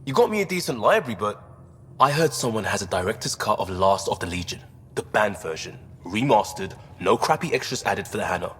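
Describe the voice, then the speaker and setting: A man's voice: fairly low pitch, very animated, a little fast, fairly bright tone, a little hiss or hum. A man playing an easygoing, joking young spaceship crewmate in casual, wry video game dialogue. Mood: eager. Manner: wry, informal. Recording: reverberant, faint music underneath.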